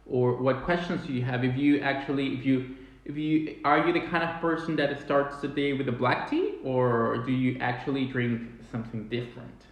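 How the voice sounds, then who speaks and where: A man, hosting a live-streamed tea tasting chat in an animated way with many restarts, recorded indoors at a table on a webcam. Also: medium pitch, normal speed. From Chile. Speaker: tea company host. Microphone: webcam or phone at table distance. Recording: very echoey room.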